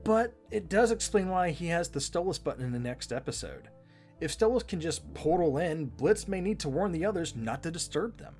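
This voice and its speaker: A man's voice: on the high side, lively intonation, normal speed, darkish tone, faint music behind. A man giving scripted back-and-forth commentary as co-host of a fan show.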